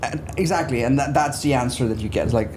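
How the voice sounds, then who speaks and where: Mellow-voiced man in an interview. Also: medium pitch, fast, a little hiss or hum. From Austria. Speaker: interview guest, business therapist. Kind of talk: conversational interview talk.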